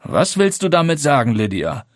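A man voicing a placid middle-aged husband who speaks calmly, precisely and with clear accents. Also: mid-range voice, normal speed, no echo, clean studio sound, pitched above the performer's own voice. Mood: placating.

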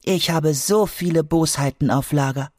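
Man doing a chill schoolboy in a kids story, slightly husky, sure of himself. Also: on the high side, moderate pace, a little mellow, no room sound, clean studio sound, voice pushed up, natural voice. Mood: reassuring. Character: clever young schoolboy, calm and sure. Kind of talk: audiobook character voice.

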